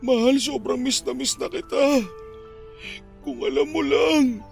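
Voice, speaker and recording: A man playing a high, gravelly grieving father. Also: a little slow, faint music behind, full character voice. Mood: longing. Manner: tearful, pleading, voice breaking with grief. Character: grieving widowed father, middle-aged, heartbroken and tender. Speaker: voice actor in a radio drama. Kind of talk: radio drama acting.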